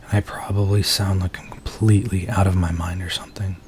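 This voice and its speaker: A man playing a nervous, earnest werewolf in an intimate ASMR roleplay, speaking softly and haltingly as he confides in his date. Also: low-pitched, level tone, slight background noise, close to an everyday voice. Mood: apologetic, earnest. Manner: soft-spoken, hesitant, halting, earnest.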